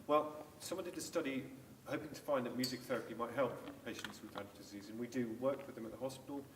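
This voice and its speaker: A man who works with patients at a hospital, explaining clinical examples on a panel in a measured way. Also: medium pitch. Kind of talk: panel discussion. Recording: echoey room, distant mic, faint noise.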